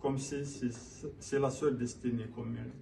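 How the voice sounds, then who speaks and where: A man from Kabylia in Algeria declaiming his own poetry in a solemn, measured manner. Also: medium pitch, flat delivery, vocal fry. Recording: distant mic, faint background noise.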